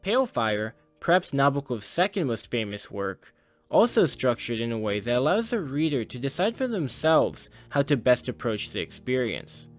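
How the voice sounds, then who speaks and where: A man reads a scripted video essay in a measured, formal, articulate way. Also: mid-range voice, sing-song, no room sound. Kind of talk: scripted narration. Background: music under the voice.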